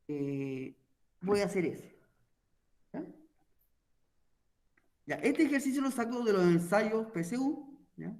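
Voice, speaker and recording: High-voiced man teaching math. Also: a little mellow. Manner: explanatory, checks in with repeated "¿ya?". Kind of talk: online math lesson.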